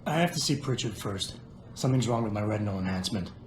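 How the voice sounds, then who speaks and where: An actor playing a stoic, gruff augmented security officer in a video game, terse and deadpan. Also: male voice, lowish voice, fast, roomy sound, soft background music, lightly acted. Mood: flat, guarded.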